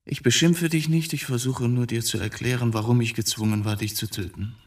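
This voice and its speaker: A man acts out a middle-aged journalist in a radio play, brooding and bitter. His voice sits at an ordinary male pitch, and he philosophizes and evades as he confesses. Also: fairly even intonation, brisk, close to an everyday voice. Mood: bitter, reproachful. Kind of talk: radio drama acting.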